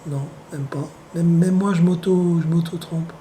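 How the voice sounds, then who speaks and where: A man with the flu talks at home in a loose, meandering monologue, his hoarse voice mellow and dark. He is wry and sardonic, and he pauses often with drawn-out hesitations. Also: a little high, fairly even intonation, a little hiss or hum. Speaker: vlogger. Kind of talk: rambling casual monologue.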